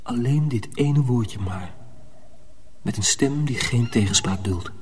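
An actor playing an earnest, protective young mill apprentice in a radio drama, measured and confiding. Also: male voice, mid-range voice, slight background noise, natural voice. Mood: tense, wondering. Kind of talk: radio drama narration and dialogue.

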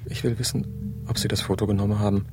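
A man's voice: lowish voice, fairly even intonation, quick pace, over music, natural voice. An actor in a radio drama playing a brooding, pleading husband obsessed with his estranged wife, insistent and reproachful.

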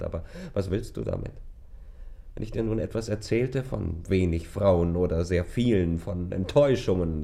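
Give the low voice of a bitter lover in a literary radio play. He speaks in polished, eloquent phrases with a sardonic edge and theatrical intensity, pushing intimacy away. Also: creaky, warm tone. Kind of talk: German radio play acting, literary dialogue.

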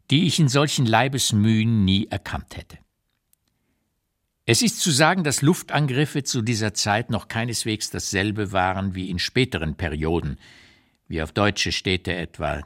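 A man playing an old émigré writer who recalls wartime years in a radio reading, with deliberate, emphatic, literary phrasing and an unsparing eye. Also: fairly low pitch, fairly bright tone. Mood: wry astonishment. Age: older. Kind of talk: dramatised literary memoir reading, radio play.

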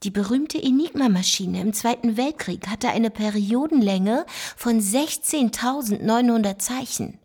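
An actress playing a knowledgeable code-cracker with dry wit in a radio play, husky and matter-of-fact. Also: female voice, fairly high pitch, a little slow, few pauses, a little mellow. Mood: explaining. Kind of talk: German radio play dialogue.

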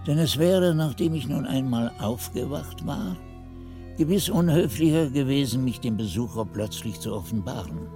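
A man playing a devout, learned monk who recalls his novice days in measured, reverent radio play narration. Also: medium pitch, animated, dark tone, lightly acted. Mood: calm, confiding. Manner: measured, reverent, ornate phrasing. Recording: music under the voice.

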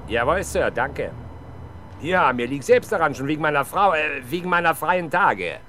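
Wry inspector, lively intonation, noisy backdrop. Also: male voice, a little high, normal speed. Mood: polite, businesslike. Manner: dry, wry, clipped orders. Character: wry male police inspector, brisk and commanding. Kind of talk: 1970s German radio crime drama acting. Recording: noisy radio drama scene, street ambience.

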